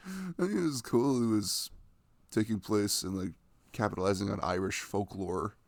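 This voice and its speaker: A young man playing a sweet, playful boyfriend in an intimate ASMR roleplay, rambling softly and casually close to the microphone. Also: lowish voice, moderate pace, no echo, natural voice. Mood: chatty, amused. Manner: soft, rambling, frequent chuckles and hums. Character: sweet, playful, affectionate boyfriend cuddling his partner.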